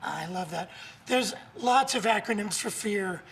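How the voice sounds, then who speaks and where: A hoarse man with laryngitis, a guest author and coach talking in an upbeat, emphatic, motivational way on a morning show. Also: high-pitched, lively intonation, measured pace, fairly bright tone, slight background noise.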